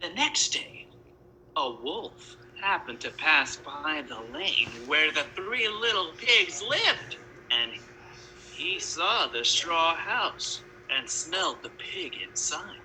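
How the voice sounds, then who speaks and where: A woman's voice: low voice, very animated, slow, pushed and bright, a little hiss or hum, poor audio. A woman narrating a storybook tale, adding wry asides as she goes.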